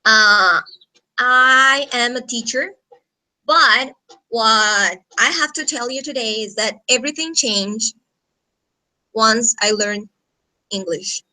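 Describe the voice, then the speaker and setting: Female guest teacher, thirties, Colombian accent, native Spanish speaker. Crisp bright tone, slow informal delivery over a video call. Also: on the high side, low-quality recording. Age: 30s. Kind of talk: informal self-introduction to a class.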